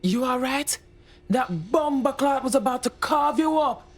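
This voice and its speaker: Indignant young-rebel type: highish voice, blunt, streetwise, game drama. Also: female voice, lively intonation, a little slow. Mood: urgent, surprised. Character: blunt, indignant female resistance operative. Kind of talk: dramatic video game acting.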